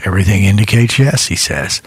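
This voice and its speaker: A man's voice: low-pitched, animated, brisk, slightly rough, no echo. A man hosting an internet rock radio show, chatting in a rambling, folksy, off-the-cuff way and repeating his phrases in a studio. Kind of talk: casual, ad-libbed rock radio DJ chat.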